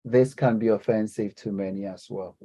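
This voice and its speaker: A man teaching a class and leading the discussion. His voice sits a little low, and he talks haltingly, full of fillers and self-corrections. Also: fairly even intonation, mellow. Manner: hesitant, many fillers and restarts. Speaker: lecturer.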